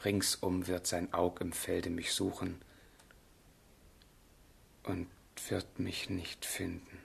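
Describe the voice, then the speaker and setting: A man's voice: low-pitched, monotone, vocal fry, slight background noise, heavily acted. An actor playing a lovelorn, tormented young man resolved to die, in a brooding, fervent radio drama style. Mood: melancholy reciting. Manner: slow, fervent, brooding declamation. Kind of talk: literary radio drama acting, declaimed monologue.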